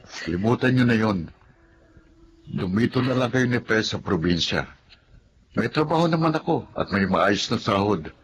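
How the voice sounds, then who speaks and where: An actor playing a caring, respectful young adult son in a Filipino radio drama. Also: male voice, fairly low pitch, animated, moderate pace, pauses often, slight background noise, lightly acted. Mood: comforting.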